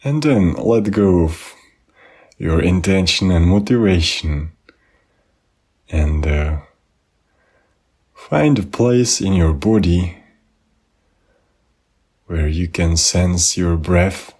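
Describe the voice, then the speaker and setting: Male meditation guide, deep and husky, gentle spoken instruction with unhurried pauses. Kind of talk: guided body scan meditation.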